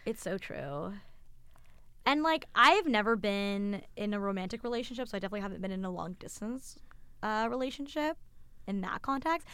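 A woman's voice: mid-range voice, lively intonation, normal speed, a bit bright, clear, resonant tone, no echo. A young woman on a podcast, talking reflectively in a casual way and leaning heavily on "like". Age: young adult. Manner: reflective, heavy use of "like". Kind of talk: casual group chat.

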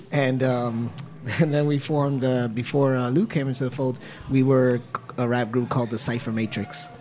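A man in his thirties from New York, a poet telling his story as an interview guest with lively fillers. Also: mid-range voice, normal speed. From New York, United States. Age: 30s. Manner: animated storytelling, fillers, laughs. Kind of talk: conversational interview.

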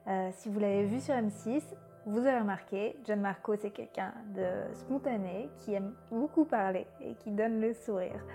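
A woman hosting a casual remote podcast interview, chatty and often pausing with hesitations. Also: medium pitch, warm tone, soft background music. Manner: chatty, with many hesitations and laughs. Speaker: podcast host. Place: home, remote call.

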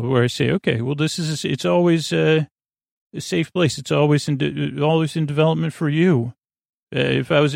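Male sleep podcast host, gravelly and lulling, wandering off topic, very dry close sound. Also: mid-range voice, no echo. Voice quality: creaky. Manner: meandering, hesitant, full of tangents and filler words; soothing, lulling delivery. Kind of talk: rambling bedtime sleep podcast monologue.

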